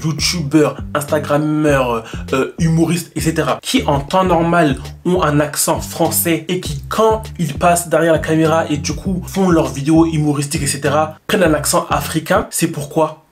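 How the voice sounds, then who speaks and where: A young man talking straight to his viewers in a casual, animated way, asking questions and pausing to think aloud. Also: on the high side, soft background music. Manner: animated, rhetorical, addresses viewers directly. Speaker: YouTuber. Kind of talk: casual talk to camera.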